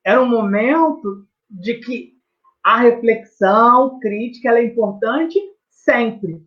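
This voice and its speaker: A man's voice: very high-pitched, fairly bright tone, highs cut off. A man with a Brazilian accent, a teacher explaining his research in conversation, reflective and emphatic, often adding tag questions.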